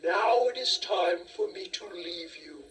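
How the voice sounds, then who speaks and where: Woman as a slow, gentle storybook bear. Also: lowish voice, animated. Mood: solemn, explaining. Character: huge, gentle, friendly male brown bear. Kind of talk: children's storybook character voice. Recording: distant cassette audio with music.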